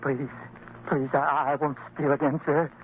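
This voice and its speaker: A stammering, cowering thief, tinny radio. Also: male voice, on the high side, flat delivery, unhurried. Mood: frightened, pleading. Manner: stammering, servile. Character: cowering, pleading male pickpocket, meek and apologetic. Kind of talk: old-time radio drama acting. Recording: tinny, noisy old radio recording.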